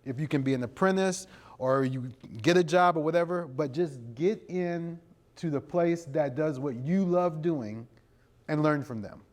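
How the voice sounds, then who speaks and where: A man's voice: a little high, a little slow, darkish tone. A middle-aged American man answering interview questions conversationally, explaining things and often checking in with his listeners. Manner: explanatory, punctuated with "you know" and "right?" check-ins. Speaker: interview guest, creative director and video producer. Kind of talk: conversational interview answers before a live audience.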